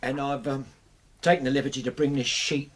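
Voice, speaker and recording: An actor playing a humble, deferential British manservant in a radio drama, hesitant, apologetic and colloquial. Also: male voice, mid-range voice, flat delivery, brisk, noisy background, lightly acted.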